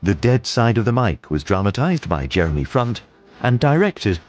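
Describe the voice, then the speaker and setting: A man's voice: lowish voice, big pitch swings, moderate pace, dull audio. A man reading scripted drama credits as a radio announcer. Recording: music bed under speech.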